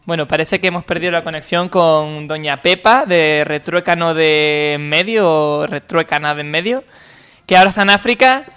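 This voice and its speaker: A man's voice: a little high, normal speed, pushed and bright, clean tone, soft background music. A man with an accent from Spain hosting a comedy call-in radio show. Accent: Spanish (Spain). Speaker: radio host.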